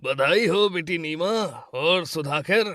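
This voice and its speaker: A man's voice: on the high side, takes it slowly, a bit bright, no room sound, clean studio sound. Man playing genial older businessman father. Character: genial, busy older rich businessman grandfather in a moral cartoon.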